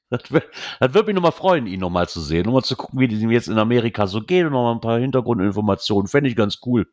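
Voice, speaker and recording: A man from Germany talks his way through a casual podcast chat with friends. He rambles, trips over his words and corrects himself, then perks up when he jokes. His voice sits at an ordinary middle pitch. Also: quick pace. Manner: rambling, self-correcting, animated when joking. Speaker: podcast host.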